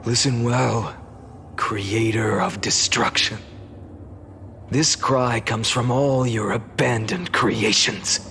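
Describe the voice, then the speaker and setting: A man voicing a defiant, vengeful young hero in a video game cutscene, speaking in a theatrical, grandiose, deliberate way. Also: mid-range voice, steady tone, gravelly, background noise. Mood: grim endurance.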